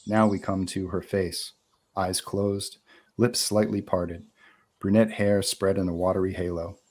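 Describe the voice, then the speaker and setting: A man's voice: low voice, level tone, a little slow, darkish tone. A man reading out screenplay stage directions as narrator at a live table read.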